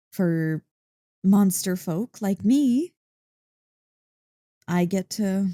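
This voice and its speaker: A woman playing an excitable, playful dog-girl girlfriend in an ASMR roleplay, gushing and teasing with mock indignation. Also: mid-range voice, no room sound, studio quality. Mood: dreamy, gushing. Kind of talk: ASMR roleplay, one-sided conversation.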